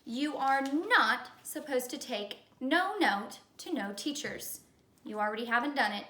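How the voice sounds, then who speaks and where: A woman's voice: high voice, expressive, measured pace, sharp tone, heavily acted. A woman voicing an easygoing, slightly muddled male yard teacher, casual and reassuring, in a storybook reading. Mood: explaining. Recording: echoey room.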